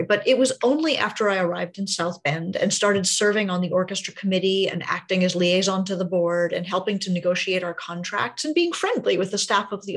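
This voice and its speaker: A woman hosting a music podcast, reading a clear, articulate introduction and then interviewing her guest. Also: mid-range voice. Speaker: podcast host.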